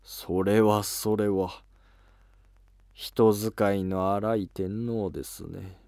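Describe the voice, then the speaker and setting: A man voicing a humble, resigned elderly scholar-official in an animated historical drama, courteous, measured and wry. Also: on the low side, dry recording, studio quality.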